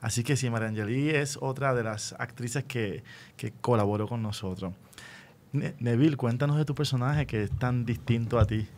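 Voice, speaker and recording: A man's voice: normal speed, darkish tone. A man with a Puerto Rican accent hosting a casual radio interview. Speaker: radio host. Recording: faint low rumbling noise.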